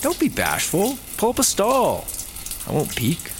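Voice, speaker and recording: Laid-back buddy, swooping expressive pitch. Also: male voice, a little slow, slight background noise, heavily acted. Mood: casual, teasing. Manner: casual, unbothered, cheerfully oblivious. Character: laid-back, easygoing, food-loving male squad car buddy. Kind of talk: sci-fi comedy audio drama acting.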